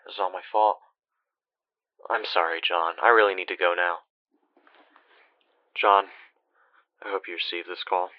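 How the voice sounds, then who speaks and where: An actor playing a guilt-ridden, trapped dead man in a horror game, talking in a halting, weary, confiding way with swearing, like a desperate older brother. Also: sits low, fairly even intonation, dry recording, dull audio. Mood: despairing, guilty. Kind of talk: horror game phone-call voice acting.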